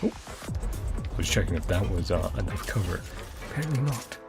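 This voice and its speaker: A woman's voice: a bass voice, animated, brisk, gravelly. A woman doing casual let's-play commentary, playfully reacting in short murmurs and reading game text aloud. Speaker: gaming streamer. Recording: game music under the voice. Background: video game music.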